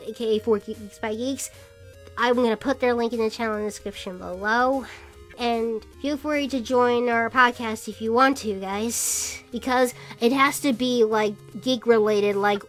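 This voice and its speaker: Young woman doing a casual shoutout vlog, highish voice, rambles a bit, music in the background. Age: 20s. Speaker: vlogger and podcast host.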